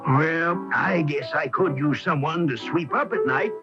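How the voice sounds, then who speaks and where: A man's voice: fairly high pitch, measured pace, natural voice. An actor playing a kindly, hesitant male shopkeeper in an acted radio advert. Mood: friendly, hesitant. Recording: muffled radio broadcast with music.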